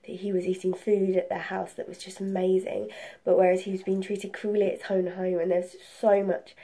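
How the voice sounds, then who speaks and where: Young woman, mellow, rambling book chat. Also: medium pitch. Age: young adult. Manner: rambling, self-correcting, excitable. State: slightly congested with a cold. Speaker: book reviewer and video host. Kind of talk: casual vlog chat about books. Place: room at home.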